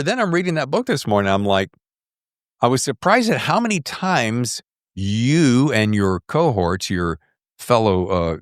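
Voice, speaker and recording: A man's voice: mid-range voice, no room sound, clean studio sound. A middle-aged man hosting a podcast interview in a casual, chatty and emphatic conversational style. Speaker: podcast host.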